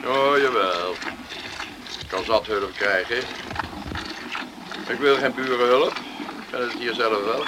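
A man's voice: mid-range voice, slow pace, pauses often, noisy background, natural voice. A man acting a proud, overworked farmer in a radio play, clipped and dismissive. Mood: curt, stubborn. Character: proud, curt, overworked farmer refusing all help.

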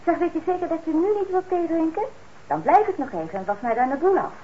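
An actress playing a fretful, bossy but caring middle-aged daughter in a radio play, nagging briskly. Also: female voice, very high voice, lively intonation. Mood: fussing. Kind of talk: Dutch radio play acting. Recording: dull, noisy old radio recording.

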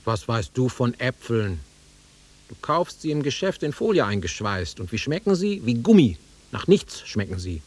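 An actor playing a volatile, nostalgic country-bred man in a German radio drama, brooding and taunting, with a rough husky voice that swings from muttering to outbursts. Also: medium pitch, sing-song, moderate pace. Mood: scornful.

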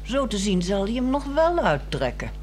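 A woman's voice: mid-range voice, big pitch swings, moderate pace, darkish tone, a little hiss or hum, close to an everyday voice. A woman with a Netherlands Dutch accent playing a bored, frank housewife in a 1960s radio drama, probing and pointed in a dry way. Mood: playful, pointed.

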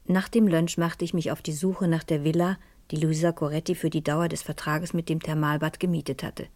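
A woman's voice: low voice, flat delivery, brisk, a little mellow, no echo, natural voice. An actress playing a determined, inquisitive woman sleuth in a radio crime drama, speaking formally and probingly. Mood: pensive, tense. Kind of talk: radio crime drama, dialogue and first-person narration.

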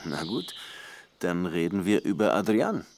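A man's voice: sits low, big pitch swings, unhurried, gravelly, darkish tone, heavily acted. An actor playing a weary, dryly sardonic police detective in his thirties in a German radio crime drama. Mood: probing. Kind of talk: German radio crime drama, dialogue and first-person narration.

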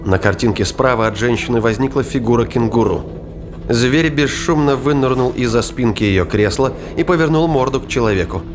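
A man's voice: mid-range voice, lively intonation, fairly quick. A man narrating a science fiction thriller in a dramatic, scripted storytelling style. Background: music.

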